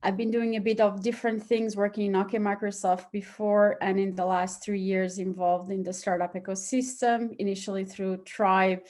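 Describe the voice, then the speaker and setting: A woman's voice: mid-range voice, flat delivery, normal speed, few pauses, darkish tone. A woman from Portugal, answering conversationally as an interview guest. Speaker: interview guest, start-up hub operations chief.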